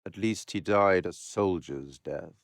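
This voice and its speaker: An actor playing a refined, sardonic and ominous male curator of macabre tales, narrating a horror game in a measured, dramatic, dryly mocking way. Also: sits low, vocal fry, dry recording, studio quality, heavily acted. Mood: wry, solemn. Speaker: narrator and host figure of a horror video game. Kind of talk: theatrical scripted game narration.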